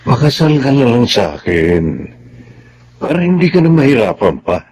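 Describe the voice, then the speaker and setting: An actor playing an earnest older man pleading for marriage in a Tagalog radio drama, with a husky voice. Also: medium pitch, sing-song, moderate pace, darkish tone.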